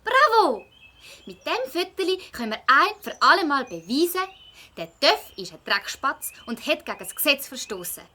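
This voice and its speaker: A woman's voice: sits high, sing-song, normal speed, bright, forceful tone, full character voice. A female performer playing an earnest, insistent schoolgirl detective in a children's audio drama, with a Swiss German accent. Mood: triumphant.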